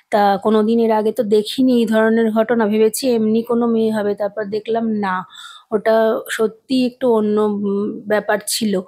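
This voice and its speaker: Female co-narrator, slightly high pitch. Casual, hesitant, halting first-person storytelling. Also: warm tone. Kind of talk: casual recounting of a personal experience.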